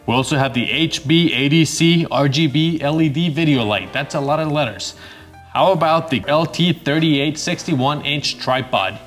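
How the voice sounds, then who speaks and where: A man's voice: a little high, normal speed, faint music behind. Upbeat Costa Rican man hosting a vlog. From Costa Rica. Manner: upbeat, chatty, with bursts of excitement. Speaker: photography channel host. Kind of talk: casual YouTube presenting.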